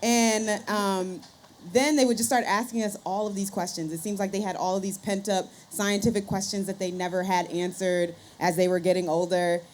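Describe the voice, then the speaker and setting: Female panel guest. Conversational, animated storyteller, medium pitch, live-event ambience with light noise behind. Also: normal speed. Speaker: podcaster and scientist, panel guest.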